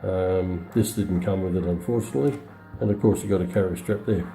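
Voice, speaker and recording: Middle-aged male demonstrator, low voice, matter-of-fact and halting, recorded indoors with radio audio behind him. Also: fairly even intonation, gravelly, dark tone, roomy sound, soft background music, poor audio. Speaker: presenter of a radio review. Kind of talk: unscripted product demonstration. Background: shortwave radio broadcast playing.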